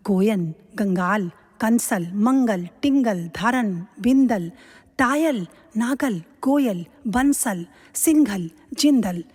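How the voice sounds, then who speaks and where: A woman narrating a devotional legend as scripted, measured storytelling in an audio drama. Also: mid-range voice, a little mellow, husky. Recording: long reverb.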